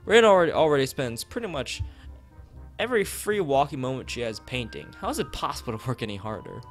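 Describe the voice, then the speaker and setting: A male streamer with a mid-pitched, very animated voice reads a visual novel aloud. He breaks off to chat with viewers and often stumbles and repeats phrases. Soft game music plays behind him. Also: brisk. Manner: stumbles over words, rereads phrases.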